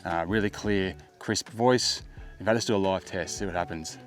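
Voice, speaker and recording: A middle-aged Australian man with a low-pitched voice talks through a gear review as a vlogger. His phrasing is casual and laid-back, as if chatting to the viewer, with music underneath. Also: normal speed. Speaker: tech vlogger, product reviewer. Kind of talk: casual product review to camera.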